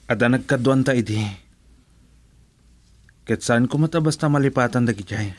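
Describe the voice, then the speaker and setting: An actor with a Filipino accent playing an earnest, reasoning friend in a radio drama. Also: male voice, mid-range voice, normal speed, no echo, close to an everyday voice. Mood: reproving, concerned. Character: earnest, reasoning male friend urging forgiveness.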